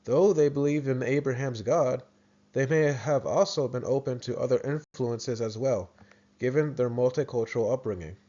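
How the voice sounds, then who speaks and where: A man's voice: medium pitch, normal speed, mellow, lo-fi sound. An American man presenting a video lesson in a casual, explanatory way, often restarting his sentences. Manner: thoughtful, with frequent restarts and fillers.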